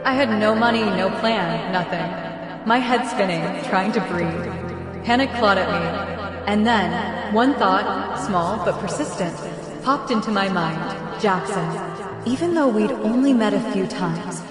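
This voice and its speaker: A woman playing a frightened but determined pregnant teenage girl in a dramatic roleplay story, halting and emotional. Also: mid-range voice, lightly acted. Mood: despairing. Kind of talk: dramatic first-person roleplay story acting and narration. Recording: echoey, long reverb, music underneath.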